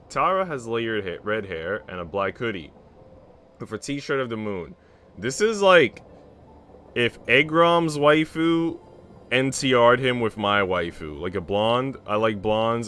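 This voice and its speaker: A man with a fairly low voice hosts a game stream. He talks in a loose, slangy, rambling way and moves between chatting and reading story text aloud. Some background noise sits behind his voice. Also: a bit bright. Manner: slangy, rambling, swears casually. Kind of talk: casual livestream chat mixed with reading a visual novel aloud.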